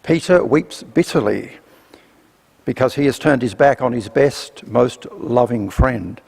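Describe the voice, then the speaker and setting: Slow, gravelly man giving a grave reflection. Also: medium pitch, expressive, a little mellow. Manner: grave, deliberate pauses between phrases. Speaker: church speaker giving a reflection. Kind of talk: scripted sermon reflection. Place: church, live service. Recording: reverberant church, faint noise.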